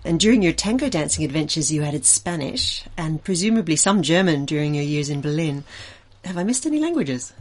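A woman with a fairly low voice introduces her guest from a script. She then asks her questions in a measured, articulate way. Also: big pitch swings. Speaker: interviewer and presenter.

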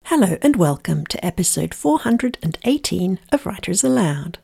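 A woman's voice: fairly low pitch, very animated, moderate pace, gravelly, dark tone, slightly rough. A woman reading a scripted podcast introduction as the announcer.

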